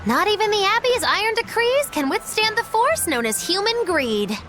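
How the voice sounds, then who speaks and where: A woman playing a small, cheeky magical creature with a squeaky, theatrical delivery in an animated game. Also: normal speed, background noise. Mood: gleeful, mocking. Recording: music behind the voice.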